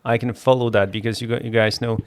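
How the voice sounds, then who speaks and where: A man's voice: mid-range voice, level tone, fast talker. A man from Poland, a native Polish speaker, a comedian co-hosting a podcast, telling a story hesitantly with lots of restarts. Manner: hesitant, restarts, plain phrasing.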